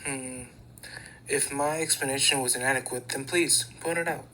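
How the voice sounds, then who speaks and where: A man's voice: mid-range voice, steady tone, normal speed, bright, forceful tone, a little hiss or hum, lightly acted, poor audio. Man voicing a serious, pedantic schoolboy idol. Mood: corrective, polite. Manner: formal, pedantic, matter-of-fact. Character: serious, studious, fussy teenage boy idol. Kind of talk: amateur anime fandub acting.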